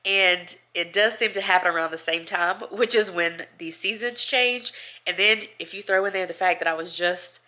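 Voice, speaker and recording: A woman's voice: on the low side, moderate pace, bright, forceful tone. A woman chatting casually at home, nasal and congested from a cold, rambling and laughing between thoughts. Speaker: vlogger. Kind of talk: casual chat to camera.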